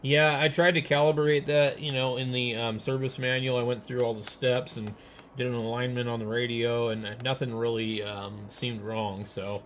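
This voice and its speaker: A man with a mid-pitched voice talks shop in a casual, halting way, like an amateur radio operator thinking aloud. A clear layer of noise sits behind his speech. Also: level tone, fairly bright tone. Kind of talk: casual technical chat.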